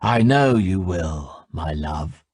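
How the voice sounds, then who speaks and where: A man's voice: lively intonation, a little mellow, husky, dry recording, clean studio sound, full character voice. Man doing a silky menacing envoy. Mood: silkily menacing. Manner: low, level, slow and silky. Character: smooth, menacing young male envoy.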